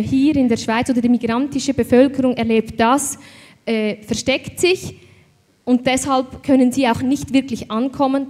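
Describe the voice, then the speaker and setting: A woman's voice: fairly high pitch, darkish tone. Swiss woman on a panel, echoing hall. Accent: Swiss German. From Switzerland. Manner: reflective, with frequent hesitations. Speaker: panel speaker. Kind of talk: panel discussion. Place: event hall. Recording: hall reverb.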